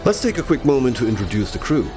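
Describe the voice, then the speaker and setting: A man's voice: medium pitch, very animated, fast talker. A man presenting scripted promotional narration for a video game broadcast, polished and explanatory. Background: music.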